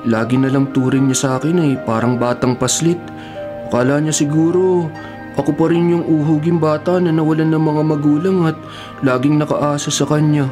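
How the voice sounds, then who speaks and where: Male actor as young Filipino college student, radio drama, troubled and cocky, expressive delivery, music bed behind. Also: a little high, lightly acted. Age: young adult. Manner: expressive, melodramatic thinking aloud. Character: haunted male college student from the province, anxious yet cocky. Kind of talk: Filipino radio drama acting with spoken thoughts.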